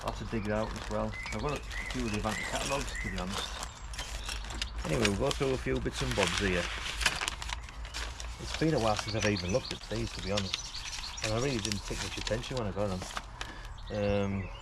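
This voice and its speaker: A man's voice: lowish voice, fairly quick, long pauses, heavy background noise. A man giving casual, unscripted hobbyist commentary in a chatty, rambling way, repeating himself as he goes. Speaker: radio hobbyist vlogger.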